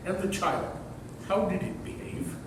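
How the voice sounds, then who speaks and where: An actor playing a pompous, stingy rich uncle in a readers theater comedy, speaking formally and hesitantly. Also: male voice, lively intonation, unhurried. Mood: pompous politeness. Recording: echoey hall, distant mic, rumbling noise.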